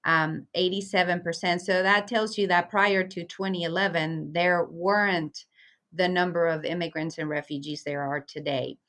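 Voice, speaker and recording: Woman from venezuela, interview guest, earnest, reflective, slightly low voice. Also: fairly even intonation, normal speed, a bit bright. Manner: earnest, reflective, with frequent fillers. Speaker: guest, community liaison. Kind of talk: conversational interview.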